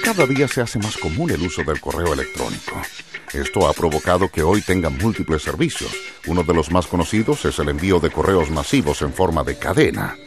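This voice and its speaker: Deep, animated male narrator. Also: brisk. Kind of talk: scripted educational narration. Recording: music bed under narration.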